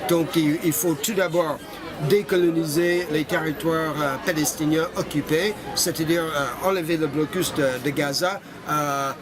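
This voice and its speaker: A man's voice: on the high side, fairly even intonation, moderate pace. An older man from Canada, interviewed in the street, speaking with many fillers and restarts. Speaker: interviewee, association founder. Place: outdoors in a busy street. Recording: loud street crowd behind. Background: crowd noise.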